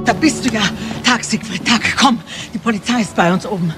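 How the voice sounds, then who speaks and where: A woman's voice: on the high side, brisk, music in the background, natural voice. An actress playing a spiteful, disapproving older woman in a German crime radio play, talking in agitated, accusing questions.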